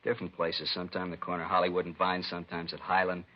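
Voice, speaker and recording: An actor playing a sick, evasive, pleading drug addict under arrest in a 1950s radio crime drama, slangy and defensive. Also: male voice, deep voice, monotone, quick pace. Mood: wary. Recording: dull, lo-fi vintage radio recording.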